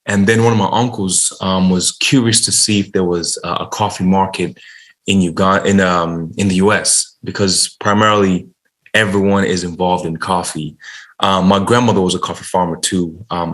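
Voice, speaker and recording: Very deep-voiced young man from Uganda. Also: flat delivery. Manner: halting, many restarts and fillers, soft-spoken. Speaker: guest, coffee company founder. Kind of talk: casual interview storytelling.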